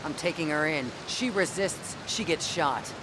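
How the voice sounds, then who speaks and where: An actress playing a hard, unyielding female police detective in a dramatic video game cutscene. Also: measured pace. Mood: stern, threatening. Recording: clear rumbling background noise.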